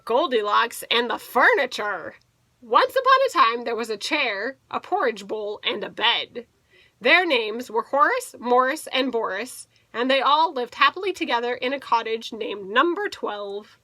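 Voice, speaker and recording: A woman teacher reading a picture book aloud to children, playfully expressive, stretching words for emphasis and adding asides. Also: on the high side, a little slow, no room sound.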